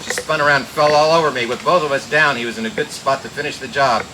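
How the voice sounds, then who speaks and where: An actor playing a tough, playful private detective with a wry, flippant delivery in an old-time radio drama. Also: male voice, mid-range voice, quick pace, lightly acted. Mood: tense narration. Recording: noisy old radio recording.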